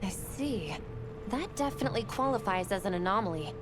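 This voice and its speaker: A steady, decisive female hunter from a video game, played by a woman. She speaks concisely in a calm, mid-pitched voice. Loud music sits behind her. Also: brisk, lightly acted. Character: confident, steady female hunter leading a team. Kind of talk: video game character acting.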